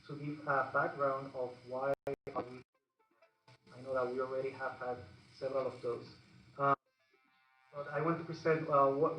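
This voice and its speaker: A man's voice: medium pitch, fairly bright tone, recorded from a distance. Puerto Rican man addressing a roomy hall. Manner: unhurried, pausing between phrases. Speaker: panel moderator. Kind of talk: public talk to an audience. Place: large event room with an audience. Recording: echoey room recorded from afar.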